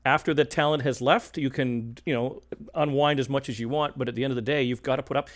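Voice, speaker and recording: A man hosting a radio show, interviewing in a relaxed conversational style. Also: mid-range voice, quick pace.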